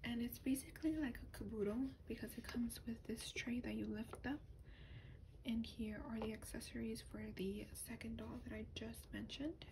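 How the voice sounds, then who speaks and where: A woman speaking softly in a breathy, often whispered voice, gently and hesitantly showing items in a rambling ASMR style. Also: fairly high pitch, steady tone, normal speed. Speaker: ASMR doll reviewer. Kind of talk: soft-spoken ASMR, rambling show and tell. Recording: clearly noisy lo-fi home recording.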